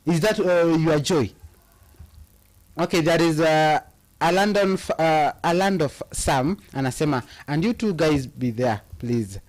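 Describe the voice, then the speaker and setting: Impassioned Kenyan man, fairly high voice. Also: unhurried. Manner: impassioned, reads comments aloud with hesitant fillers. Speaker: co-host reading viewer comments. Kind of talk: casual live chat mixing English and Swahili.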